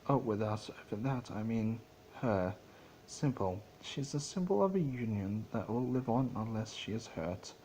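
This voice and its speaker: A man's voice: fairly low pitch, darkish tone, a little hiss or hum, rough recording. A man voicing a regal adult mare who speaks formally and earnestly to a sister in a storybook reading.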